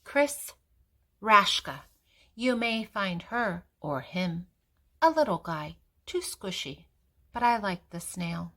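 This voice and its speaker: An older woman reading a picture book aloud to children, expressive and deliberate, with emphasis on key words. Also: medium pitch. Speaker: children's story reader and channel host.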